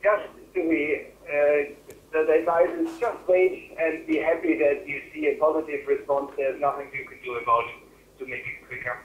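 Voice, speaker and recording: A middle-aged man with a German accent answering medical questions as a doctor, in a halting way that repeats and rephrases words, over a phone line. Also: on the high side, fairly even intonation. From Germany or German-speaking Europe. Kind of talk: medical Q&A on a webinar. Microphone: phone call. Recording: roomy, band-limited phone line.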